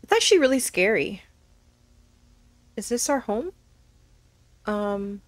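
A woman's voice: mid-range voice, big pitch swings, slow pace, dry recording. A woman giving casual, nervous commentary as she plays a horror game, thinking aloud. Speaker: gaming video host.

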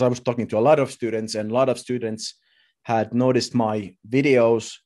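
A man in his thirties giving a personal talk as a speaker, telling his story in short, halting, deliberate phrases. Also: medium pitch, a little mellow. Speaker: conference speaker. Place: indoors at home, video call.

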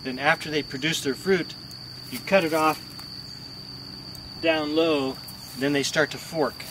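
A man's voice: fairly high pitch, steady tone, measured pace. An older man giving a casual walking tour of his rural homestead, explaining his methods in a plain, practical, step-by-step way. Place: outdoors on a rural homestead with rice fields around. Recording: outdoor recording with insects and rural noise.

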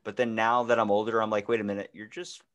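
A man's voice: on the low side, level tone, quick pace, a bit bright, dull audio. American man riffing in casual chat. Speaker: podcast participant. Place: remote video call from a room indoors.

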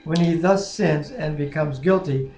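A man's voice: a little high, measured pace, darkish tone. A man in his sixties co-hosting a Bible reading with casual devotional talk, prayer and scripture, recorded on a webcam in a living room. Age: 60s. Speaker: co-host of a Bible reading series. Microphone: webcam microphone at arm's length. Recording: roomy webcam audio with faint noise.

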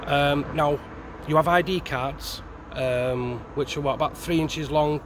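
A middle-aged man explains things in an earnest, matter-of-fact way. He speaks into a handheld mic outdoors on a street at night. Traffic and street noise are clearly audible behind him. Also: on the high side, steady tone, unhurried. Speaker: interview guest, former prisoner. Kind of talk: casual interview answers.

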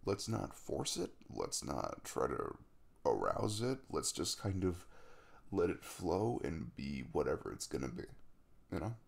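Young male crush played by a man for intimate audio roleplay: low, very creaky, easygoing, caring, teasing. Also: sing-song, unhurried, exaggerated voice. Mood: gentle, hesitant. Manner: rambling, casual, jokey and reassuring. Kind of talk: intimate ASMR boyfriend roleplay, conversational.

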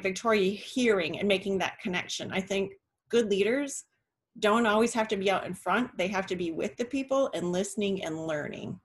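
A middle-aged woman executive on a video call panel, speaking in a thoughtful, measured way. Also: mid-range voice. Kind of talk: panel discussion.

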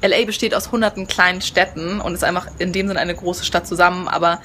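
A woman's voice: on the low side, steady tone, fast, a bit bright. A young woman chatting in a casual travel vlog, bubbly with lots of fillers, filming herself outdoors on a phone held at arm's length. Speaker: vlogger. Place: outdoors by a house and a hotel pool. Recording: outdoor phone audio with background noise.

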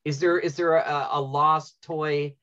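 A man's voice: a little high, level tone, a little slow, sharp tone. A middle-aged man moderating a casual panel discussion over a video call. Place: indoor room, video call. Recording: dull lo-fi video call audio.

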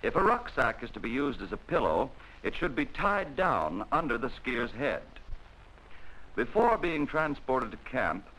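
A man narrating a 1940s military training film in a measured, instructional way, on an old film soundtrack. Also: mid-range voice, big pitch swings, bright, forceful tone, slight background noise, rough recording.

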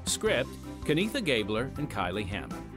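A man speaks as a podcast host, delivering scripted announcements and narration. His pitch is mid-range and moves in a lively way, with faint music playing behind him. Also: normal speed.

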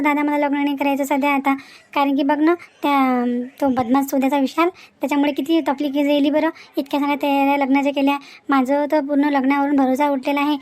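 Woman as an anxious, high-voiced young woman. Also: lightly acted. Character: anxious young woman confiding about unwanted marriage. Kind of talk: Marathi animated family drama.

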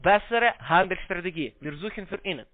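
A man with a foreign accent giving a halting, repetitive, polite phone sales pitch in broken, non-native German. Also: lively intonation, no room sound. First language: not German. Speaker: call center investment salesman on a scam call. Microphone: phone call. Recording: narrow-band phone line sound.

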